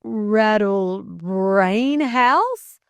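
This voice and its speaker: A woman voicing an imperious, teasing gentleman, master of the house, in a declamatory audiobook style. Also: mid-range voice, animated, measured pace, no room sound, close to an everyday voice. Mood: stammering, suspicious. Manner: pompous, declamatory, bantering.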